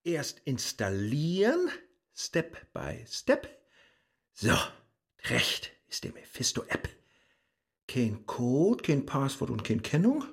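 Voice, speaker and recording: An actor playing an impatient, excitable Faust in a comic rhymed radio play, brash and theatrical, with a Low German accent. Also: takes it slowly, gravelly, long pauses, breathy edge, exaggerated voice. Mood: impatient, bossy. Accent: Low German, northern Germany. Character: impatient, bossy Faust, excitable seeker of thrills. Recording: loud music behind the voice.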